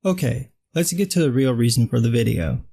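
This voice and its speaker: A man's voice: mid-range voice, lively intonation, fairly quick, creaky. A man with a dry voice delivering a sarcastic, blunt scripted film commentary. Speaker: film critic vlogger.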